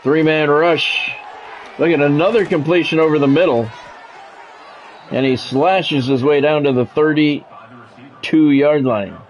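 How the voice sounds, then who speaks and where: A man's voice: fairly high pitch, fairly even intonation, measured pace, long pauses, dull audio, a faint crowd, a little hiss or hum. A man streaming a football video game, mixing sports play-by-play with casual, salty chat to viewers and swearing freely.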